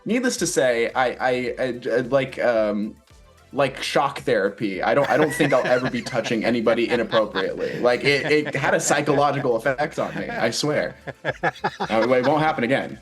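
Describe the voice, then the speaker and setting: A male stage actor talks casually as an interview guest. He is chatty and joking, rambling through what he says like a storyteller, at an ordinary male pitch. Faint music plays behind him. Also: moderate pace. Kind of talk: casual promotional interview chat.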